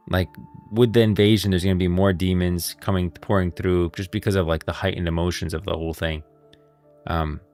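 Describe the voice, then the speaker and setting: A man doing casual live commentary on game lore as a streamer, halting and repeating himself as he thinks aloud. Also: low voice, a little mellow.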